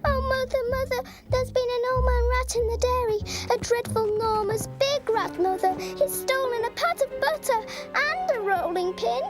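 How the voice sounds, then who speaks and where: Very high, excitable little kitten. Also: female voice, moderate pace, few pauses. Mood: alarmed. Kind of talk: animated storybook character acting. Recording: loud music behind the voice.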